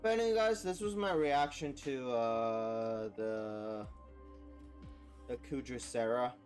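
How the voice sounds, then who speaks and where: A man's voice: mid-range voice, slow, darkish tone, faint music behind. A young man hosting a gaming reaction video, talking casually in an informal, slangy, enthusiastic way.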